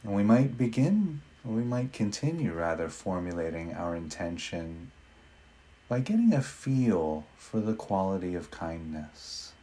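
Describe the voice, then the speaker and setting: A man leading a guided meditation in a gentle, unhurried manner with long pauses between phrases. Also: low-pitched, expressive.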